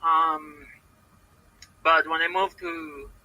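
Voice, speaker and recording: Halting Puerto Rican woman, bright tense voice. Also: deep voice, flat delivery, no echo, lo-fi sound. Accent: Puerto Rican Spanish. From Puerto Rico. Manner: hesitant, halting, many repeated words and fillers. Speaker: professional wrestler, podcast guest. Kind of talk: casual interview answers.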